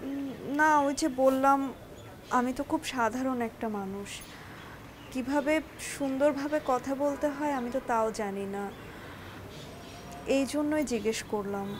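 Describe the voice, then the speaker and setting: A high, girlish-pitched young wife with a Bangladeshi accent in a TV drama. She is simple and trusting, confiding shyly and hesitantly. Clear background noise from the location sits behind her voice. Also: a little slow, long pauses. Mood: gentle, hesitant. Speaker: actress in a TV drama. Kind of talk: naturalistic Bangla TV drama dialogue. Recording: noisy drama location sound.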